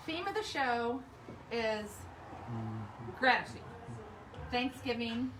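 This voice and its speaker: A woman co-hosting a live show from a small shop, chatting casually with a loud, bubbly voice and frequent laughter. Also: on the high side, animated, slow pace, reverberant, recorded from a distance, a little hiss or hum, poor audio. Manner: bubbly and playful, laughs often. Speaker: co-host of a live store show. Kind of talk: casual chat.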